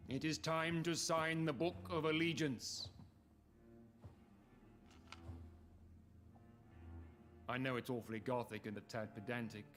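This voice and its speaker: An actor playing an ancient aristocratic British vampire lord in a video game, speaking in a formal, measured, grandiloquent manner. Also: male voice, mid-range voice, steady tone, a bit bright, soft background music, close to an everyday voice. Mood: ceremonial. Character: ancient aristocratic male vampire lord, founder of a secret club. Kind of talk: scripted video game dialogue.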